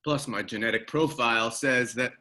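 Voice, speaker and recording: A man's voice: medium pitch, normal speed, bright, forceful tone, close to an everyday voice. A man playing a big, brave sixteen-year-old best friend in a science fiction script read, cocky, teasing and supportive. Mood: confident, loyal.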